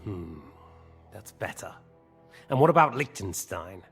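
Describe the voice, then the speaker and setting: An actor playing a sadistic, mocking commander who toys with prisoners in a leisurely, falsely courteous way, in a video game cutscene. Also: male voice, low-pitched, very animated, unhurried, slightly rough, heavily acted. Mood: mocking, probing. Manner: taunting, leisurely, theatrically courteous. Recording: music behind the voice.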